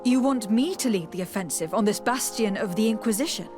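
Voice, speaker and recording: A woman's voice: medium pitch, brisk, natural voice. A woman playing an assertive, composed noblewoman diplomat in a video game, speaking formally and directly. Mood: formal, resolute. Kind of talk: video game dialogue acting. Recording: music behind the voice.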